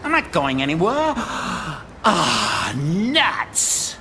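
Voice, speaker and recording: A mischievous little cartoon imp, pitched high and swinging wildly up and down. He is theatrical and gushing, drawing words out slowly for effect. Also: wildly swooping pitch, exaggerated voice. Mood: puzzled, indignant, then defeated, playful. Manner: theatrical, gushing, exaggerated swoops. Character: mischievous theatrical imp trickster, small and excitable. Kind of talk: animated superhero villain voice acting. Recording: clear background noise.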